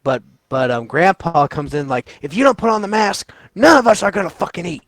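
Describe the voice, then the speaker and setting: A man's voice: on the high side, very animated, brisk, a bit bright, no room sound. Teen male co-host, brash and sweary, casual rambling review, dry room. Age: teens. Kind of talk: casual movie review chat.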